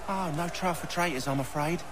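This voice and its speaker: A man playing a taunting, gloating villain in a video game, with a gravelly, sarcastic delivery. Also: on the high side, brisk, background noise, natural voice. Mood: gloating, mocking. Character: sneering, gloating male villain, cruel and mocking. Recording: game audio with loud music behind.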